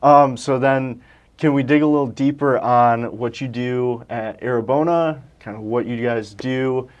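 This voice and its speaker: A relaxed male host keeps a business conversation moving. His voice sits at a middle pitch, and he nudges his guest along with short, encouraging replies. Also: unhurried, highs cut off. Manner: easygoing, encouraging. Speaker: podcast host. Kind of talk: casual interview.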